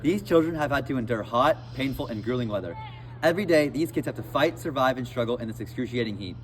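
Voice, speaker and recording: A man's voice: mid-range voice, lively intonation, fast, in a large hall, poor audio. A young man giving a dramatic scripted weather report in an amateur news segment.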